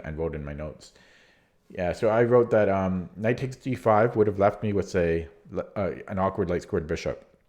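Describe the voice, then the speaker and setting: A man, losing his voice, hesitantly and candidly talking through a chess game he played, reading from his notes. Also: low voice. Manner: hesitant, many restarts, relaxed and candid. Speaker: chess channel host reviewing his own game. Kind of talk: casual game analysis from notes.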